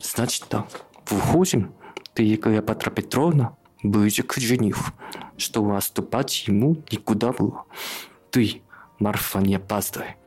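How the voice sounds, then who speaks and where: A man's voice: fairly low pitch, unhurried, darkish tone, husky, faint music behind. A man acting an indignant, scheming father in a radio play, speaking emphatically. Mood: scheming, eager.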